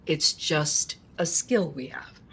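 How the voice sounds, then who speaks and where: A woman acting in an audio drama as a calm, reassuring support group leader who gently questions and encourages. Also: low voice, slow pace, vocal fry, husky, exaggerated voice. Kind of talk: conversational audio drama acting, live recording.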